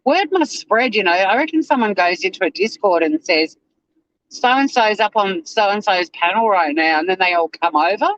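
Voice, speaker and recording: Chatty, high-voiced Australian man. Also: moderate pace, dry recording, lo-fi sound. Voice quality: slightly whiny. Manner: chatty, animated, swears freely. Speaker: livestream host. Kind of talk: casual livestream chat to viewers.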